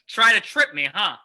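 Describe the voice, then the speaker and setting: A man's voice: high voice, pushed and bright, heavily acted. A man playing a cruel, taunting crook in an old-time radio drama. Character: sneering male crook, a cruel bully in a radio play.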